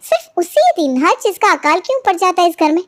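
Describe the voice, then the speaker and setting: A woman acts out an indignant young daughter-in-law in a cartoon moral tale. She sounds high and insistent, forever complaining, in a dry recording. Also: sing-song, brisk, no echo. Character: aggrieved, indignant young daughter-in-law, eager to expose wrongs. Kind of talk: cartoon family moral story dialogue.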